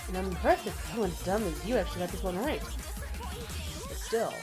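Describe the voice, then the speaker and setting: A woman's voice: fairly high pitch, sing-song, moderate pace, over music. A woman voicing a stressed, grumpy male game developer in a visual novel, speaking in a condescending, scolding way.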